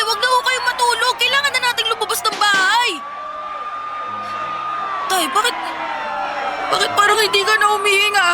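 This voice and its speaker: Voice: very high voice, heavy background noise. A performer voicing a poor young boy, an anxious and devoted son, in Filipino radio drama style, with pleading, respectful delivery. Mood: panicked, desperate. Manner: pleading, respectful toward his father.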